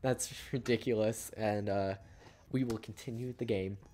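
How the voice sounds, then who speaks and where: A woman's voice: rumbling low, fairly even intonation, moderate pace, dark tone. A woman streamer with a tired voice, chatting casually in a rambling way as she signs off. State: tired voice after a long stream. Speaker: streamer playing a story game. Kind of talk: casual stream sign-off chat.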